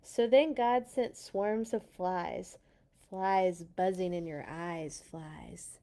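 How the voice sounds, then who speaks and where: Slow, expressive woman reading to children. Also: lowish voice. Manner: dramatic, deliberate emphasis when reading. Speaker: children's read-along host and Bible school teacher. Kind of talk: expressive storybook reading for children with casual asides.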